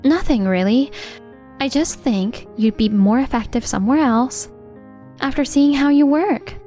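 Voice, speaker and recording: A woman's voice: high-pitched, measured pace, dark tone. A woman playing a reserved young company president in a manga dub, businesslike and guarded about her feelings. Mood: guarded, brisk. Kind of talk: anime-style manga dub acting. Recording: background music under the voice. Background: music.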